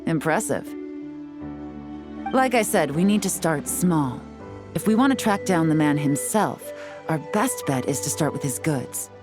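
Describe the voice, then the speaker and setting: A woman's voice: deep voice, animated, unhurried, over music. A woman playing a cool, shrewd, confident intelligence agent in a video game, speaking in an assured, instructive way. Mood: assured, businesslike.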